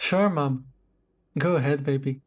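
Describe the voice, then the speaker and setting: Guy doing a sweet affectionate boyfriend for romance roleplay audio, unhurried slow talker, soft-hearted and reassuring. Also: mid-range voice, lightly acted. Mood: affectionate, relaxed. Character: tender, apologetic boyfriend, caring and reassuring. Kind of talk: romantic roleplay audio drama, intimate one-sided dialogue.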